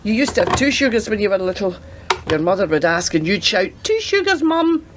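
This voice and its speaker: A woman's voice: medium pitch, big pitch swings, brisk, close to an everyday voice. Chatty, doting old grandmother played by an actress, noisy recording. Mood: warm, reminiscing. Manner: fussing, talkative, teasing. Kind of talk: radio play acting.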